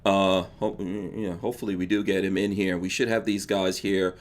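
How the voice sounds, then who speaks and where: A man's voice: low-pitched, level tone, moderate pace. A man with a New York accent hosting a livestreamed podcast, chatting casually and laughing often. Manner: laughs often, loose and joking. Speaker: podcast host.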